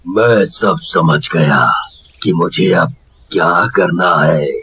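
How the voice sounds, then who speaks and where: A man voicing the vengeful ghost of a murdered village husband in a cartoon, emphatic and deliberate. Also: low-pitched, pushed and bright, a little hiss or hum, full character voice. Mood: resolved. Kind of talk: Hindi cartoon voice acting.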